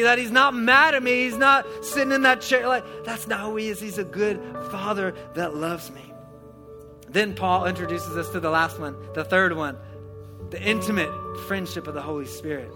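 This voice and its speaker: Male preacher, high-pitched and energetic, live sermon over instrumental music. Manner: energetic, conversational, swells to shouting. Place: church hall before a congregation.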